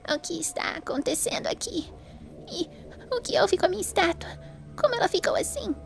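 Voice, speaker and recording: A woman's voice: very high voice, expressive, a little fast, creaky, soft background music, heavily acted. A woman playing a frail, polite elderly lady in an audio drama, kind and bewildered. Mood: alarmed, indignant.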